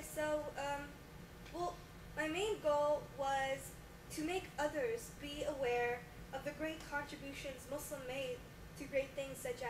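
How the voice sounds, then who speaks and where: A woman as the guest on a mock talk show interview, speaking hesitantly with many fillers. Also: high voice. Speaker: guest scientist. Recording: distant echoey room with loud noise.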